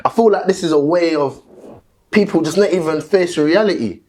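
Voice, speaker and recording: A man's voice: brisk, darkish tone. Argumentative man on a podcast. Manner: argumentative, rises in pitch when pressing a point. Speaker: podcast panelist. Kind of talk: casual debate.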